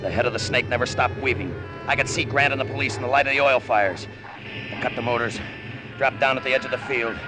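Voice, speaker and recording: An actor playing a desperate, terrified crooked pilot in an overwrought old-time radio drama style. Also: male voice, mid-range voice, quick pace, over music, lightly acted, low-quality recording. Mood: tense narration. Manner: overwrought, breathless intensity. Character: desperate, terrified crooked pilot telling his own tale.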